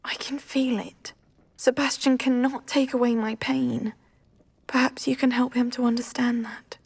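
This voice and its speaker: A woman playing a weary, cursed teenage girl in a video game, speaking with breathy, sighing resignation. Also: a little high, little pitch movement, unhurried, darkish tone, exaggerated voice. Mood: resigned, sad. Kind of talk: video game dialogue acting.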